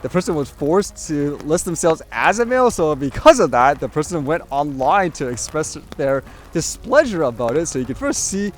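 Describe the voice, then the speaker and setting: A man's voice: a little high, big pitch swings, normal speed, few pauses. A man giving casual opinion commentary as a vlogger, rambling along conversationally with frequent hedging asides. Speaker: vlogger host.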